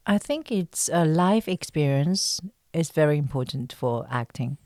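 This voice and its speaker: A woman's voice: low-pitched, expressive, a little slow, a little mellow, dry recording, studio quality. A woman from China speaking as an interview guest, an actress answering casually in hesitant, thoughtful phrases.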